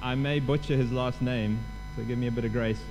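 A man's voice: medium pitch, fairly even intonation, fairly quick, noisy background. A man with a South African accent welcoming a congregation and introducing a guest preacher in a chatty, self-deprecating way. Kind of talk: casual church welcome.

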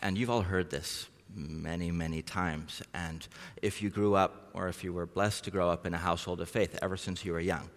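Deep-voiced man preaching in a reverberant hall. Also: fairly even intonation, normal speed, few pauses. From Norway and Southeast Asia. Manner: informal, chatty. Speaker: missionary preaching a sermon. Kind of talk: conversational sermon with prayer and storytelling.

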